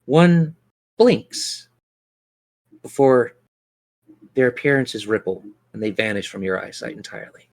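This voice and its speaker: A man's voice: mid-range voice, lively intonation, measured pace, gravelly, pauses often. A man acting as game master, narrating and refereeing a tabletop fantasy game in colloquial language and speaking directly to his players.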